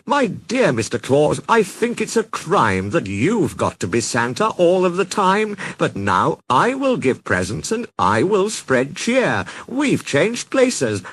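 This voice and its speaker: A man's voice: on the high side, wildly swooping pitch, slow, hardly any pauses, fairly bright tone, slightly rough, dry recording, voice pushed up, full character voice, low-quality recording. A man voicing a restless, theatrical male skeleton, declaiming storybook verse. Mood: grand, persuasive. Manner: declamatory, rhyming verse delivery.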